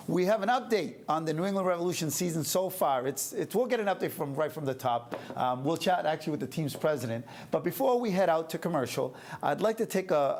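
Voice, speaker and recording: A man hosting a local TV talk show who fires off quick, upbeat questions and promos. He talks at a rapid clip in an ordinary pitch. Faint noise sits under his voice. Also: a little mellow. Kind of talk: interview hosting.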